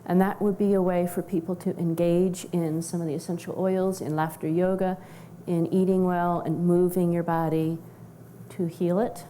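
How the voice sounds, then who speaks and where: A woman's voice: lowish voice, level tone, normal speed, mellow, a little hiss or hum. Woman explaining wellness tips in a studio. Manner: informative, explaining steadily. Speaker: wellness practitioner and guest. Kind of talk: studio interview.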